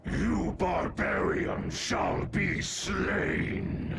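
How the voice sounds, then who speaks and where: A fanatical game minion with a heavily creaking, gravelly voice that lurches widely in pitch. The menacing delivery sits in very loud noise. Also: male voice, wildly swooping pitch, very slow, full character voice. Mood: menacing, zealous. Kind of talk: exaggerated video game voice acting.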